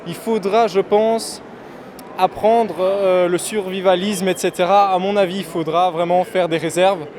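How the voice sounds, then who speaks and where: A man's voice: high-pitched, fairly even intonation, unhurried, darkish tone. A man stopped in the street for an interview, talking bluntly and emphatically. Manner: blunt, doom-laden and emphatic. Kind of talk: street vox pop.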